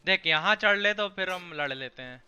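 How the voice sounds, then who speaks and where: A man's voice: high-pitched, lively intonation, rapid-fire, bright, forceful tone, clean tone, dull audio. A young adult man from India chatting casually as a gaming streamer, informal and mixing in English words. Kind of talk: casual gameplay chat.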